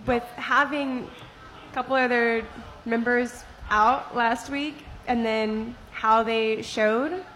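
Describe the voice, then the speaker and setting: A woman's voice: fairly high pitch, steady tone, slow, fairly bright tone, slight background noise, poor audio. A young adult woman on a casual podcast panel, bawdy and joking, sometimes hesitant.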